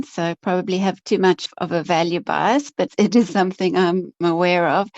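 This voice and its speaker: A South African woman, an investment officer, answering interview questions in a relaxed, self-deprecating way and laughing easily. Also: mid-range voice, no echo, muffled recording.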